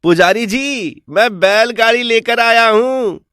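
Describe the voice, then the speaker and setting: A man playing a cunning, theatrical village swindler in an animated story. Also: very high voice, slow, a little hiss or hum, heavily acted. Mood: polite scheming. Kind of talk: animated Hindi storytelling dialogue.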